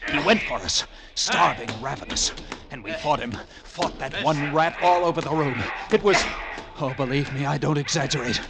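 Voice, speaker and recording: An actor playing a lighthouse keeper who narrates his ordeal in hushed, dread-filled tones, in 1940s radio acting style. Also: on the high side, moderate pace, creaky, over a noisy crowd. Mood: tense. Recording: old radio recording with music.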